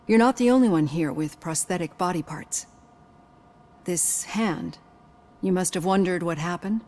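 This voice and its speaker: A woman playing a composed, probing psychologist in a video game, speaking in a measured, articulate, clinical way. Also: lowish voice, mellow, lightly acted. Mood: confiding, measured. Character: composed, probing female psychologist with prosthetic hand.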